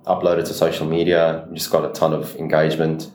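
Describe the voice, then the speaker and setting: A man's voice: extremely low pitch, completely flat delivery, a little fast, a little mellow, reverberant. A South African man in his thirties, a business owner chatting casually as an interview guest, easygoing and loose with his fillers.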